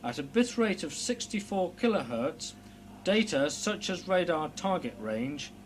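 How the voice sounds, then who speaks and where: A man reading a scripted technical instructional narration in a formal, measured, precise explanatory manner. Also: very animated. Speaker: narrator of a technical training film. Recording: clear background noise with low hum.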